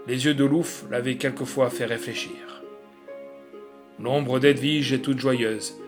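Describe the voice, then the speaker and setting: A man reading literary fiction as an audiobook narrator, in a dramatic storytelling manner. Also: medium pitch.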